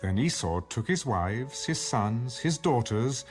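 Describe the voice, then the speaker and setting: A man giving a formal, measured scripted narration of scripture, as in an audio Bible. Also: mid-range voice, expressive. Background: background music.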